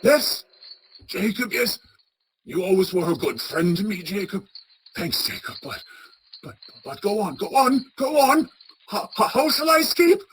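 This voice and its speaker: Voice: mid-range voice, very animated, a little slow. An actor playing a crotchety, frightened old male miser in a radio play, stammering and blustering as he speaks. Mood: anxious. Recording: clearly noisy track with ambience.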